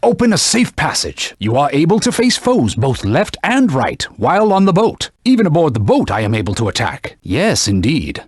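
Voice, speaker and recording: Haughty talking sword for a video game, super animated pitch, dry close sound, stern n formal, bossy battle mentor. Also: male voice, normal speed, hardly any pauses, slightly rough, no echo, exaggerated voice. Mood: urgent, scolding. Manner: formal, scolding, urgent commands. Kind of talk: theatrical video game voice acting.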